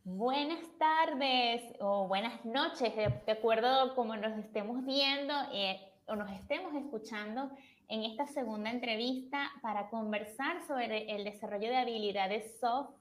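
A woman's voice: a little high, measured pace. A woman hosting a podcast, reading a formal introduction and welcoming her guest. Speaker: podcast host. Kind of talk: scripted intro then interview hosting.

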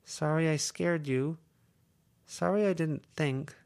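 Verona, as a man puts her on, a soft-spoken teenage girl in a storybook reading, pitched well above a man's range. She talks quietly and flatly, with a faintly flippant, innocent air. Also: takes it slowly, creaky, clean tone. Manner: quiet, flat, mildly flippant.

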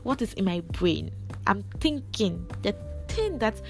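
A woman, hosting a casual solo podcast in a chatty, confiding way, her voice raspy from a cold. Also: mid-range voice, very animated, a little slow, faint music behind. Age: 20s. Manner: chatty, confiding, laughs often. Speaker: podcast host.

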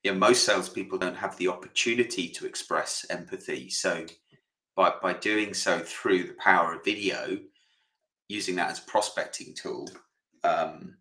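A man co-hosting a podcast in a relaxed, chatty way, with frequent "uh"s. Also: sits low, normal speed, echoey room, rough recording. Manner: relaxed, lots of fillers. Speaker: podcast co-host. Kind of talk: casual podcast chat.

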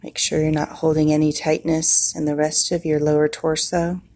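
A woman leading a guided meditation in a soothing manner, with long pauses and a husky, breathy voice. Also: low voice, level tone, darkish tone.

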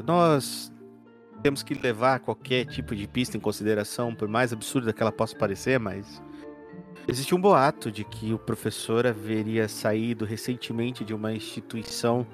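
A man voicing a polite, probing male detective in a tabletop game, formal and deliberate. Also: mid-range voice, faint music behind, natural voice.

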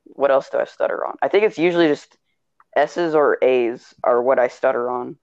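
A man chatting loosely on a podcast while he plays a game. His talk comes in quick, rambling bursts full of jokes. His dull, lo-fi mic sits close, with a dry sound and no room behind it. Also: medium pitch, no echo. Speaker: podcast co-host. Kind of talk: casual banter while gaming.

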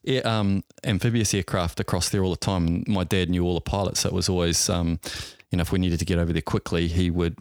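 A man, a helicopter pilot telling stories as a podcast guest in a rambling, halting way full of ums and uhs. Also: deep voice, steady tone, a little mellow, no room sound, studio quality. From New Zealand. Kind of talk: casual interview storytelling.

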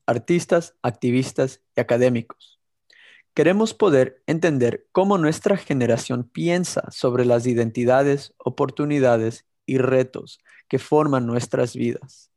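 A young man co-hosting a podcast, from Ecuador by birth and raised in California. His voice is mellow and relaxed. He talks in an earnest, steady way, sometimes restarting a word. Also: mid-range voice, vocal fry. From born in Ecuador, raised in California. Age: 20s. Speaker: podcast co-host. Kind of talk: scripted podcast intro and casual chat.

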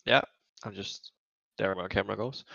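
A man's voice: on the low side, fairly quick, vocal fry, pushed and bright, no room sound, lo-fi sound. A man from Denmark, an esports head coach, talking casually as a panel guest. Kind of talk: casual chat.

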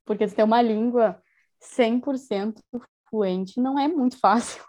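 A woman's voice: a little high, normal speed, a little mellow. Chatty young woman, casual interview. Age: young adult. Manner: chatty, enthusiastic, many fillers like "assim" and "né". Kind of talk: casual conversation.

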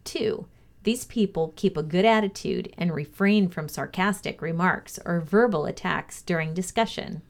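Female co-host with a slightly low voice, measured, instructive read of a values lesson. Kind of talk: read-aloud motivational lesson.

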